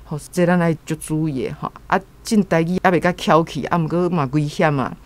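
A woman's voice: low-pitched, steady tone, fairly quick. A woman with a Taiwanese accent speaking earnestly and informally as a guest commentator.

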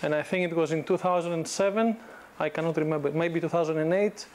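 Greek man giving a relaxed lecture. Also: fairly high pitch, fast talker, slight background noise. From Greece. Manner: relaxed, conversational, frequent restarts and fillers. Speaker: guest lecturer. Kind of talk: informal business lecture. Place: university classroom. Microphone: lapel mic.

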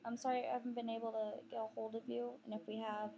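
A woman's voice: fairly high pitch, flat delivery, fast talker, vocal fry, muffled recording. A teenage girl with a Texan accent, rambling and chatty with lots of fillers, talking to her webcam from a couch at home. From Texas. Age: teens. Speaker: vlogger. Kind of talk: casual webcam vlog. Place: living room couch indoors. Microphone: webcam on computer.

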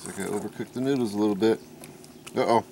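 A man's voice: fairly low pitch, quick pace, mellow. A middle-aged man casually demonstrating cooking outdoors, making offhand, folksy remarks as he works. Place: outdoors in a wooded backyard. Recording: outdoor camcorder with clear clattering background noise. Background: outdoor ambience, pots and cutlery clatter.

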